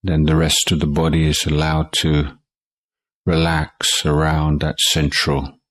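A man teaching guided meditation in a calm, measured way, leaving long pauses between short phrases. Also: a bass voice, no echo. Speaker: Buddhist monk meditation teacher.

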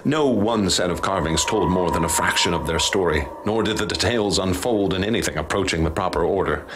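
Deep-voiced, grave professor played by a man. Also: close to an everyday voice. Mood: grave, measured. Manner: formal, measured, ominous. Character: grave haunted male professor recounting horrors he witnessed. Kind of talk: dramatic radio drama narration. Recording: clear background noise and ambience.

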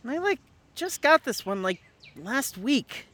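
A performer playing an anxious, exasperated boy at summer camp in an audio drama, with a halting, youthful delivery. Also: high voice, very animated, bright, forceful tone, slight background noise, heavily acted. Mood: annoyed, hurt. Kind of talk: naturalistic audio drama acting.

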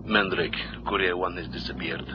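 An actor playing a male Soviet agent giving a quiet, businesslike report in a 1960s radio drama. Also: deep voice, steady tone, moderate pace, natural voice. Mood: flat, grim. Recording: hissy lo-fi old radio recording.

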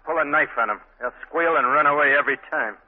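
A man's voice: fairly high pitch, quick pace, natural voice. Guy acting as mean new street tough, slangy threats, old muffled radio recording. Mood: menacing. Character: hard, menacing streetwise tough man bullying kids.

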